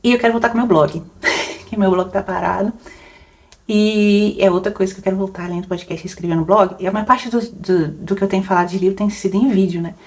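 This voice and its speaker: A woman's voice: mid-range voice, fairly even intonation, brisk. A young adult woman, a book podcaster rambling casually through questions, laughing often and full of fillers. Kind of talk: casual Q&A chat.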